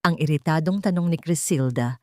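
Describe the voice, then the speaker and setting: A woman's voice: sits low, fairly quick, no room sound, studio quality. A woman narrating a scripted romance drama in a dramatic storytelling style.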